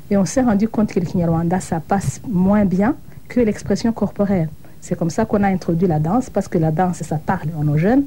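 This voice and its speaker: Middle-aged woman, very dark mellow voice. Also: mid-range voice, a little hiss or hum, poor audio. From Burundi. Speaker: interview guest, association co-founder. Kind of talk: reflective broadcast interview.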